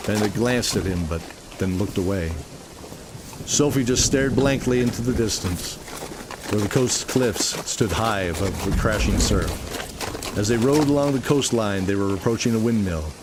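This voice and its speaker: A man's voice: lowish voice, noisy background. A man narrating a scripted audio drama in a dramatic storytelling style, with music behind him. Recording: loud music bed behind.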